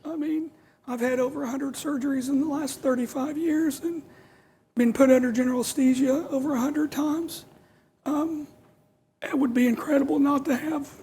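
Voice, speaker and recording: A middle-aged woman answering as a patient on a panel, halting and earnest, repeating words as she searches for them. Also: sits high, mellow, a little hiss or hum, low-quality recording.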